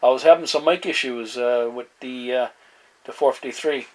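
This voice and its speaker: A man in casual two-way radio chat as a hobbyist, speaking in halting phrases with radio slang. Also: mid-range voice.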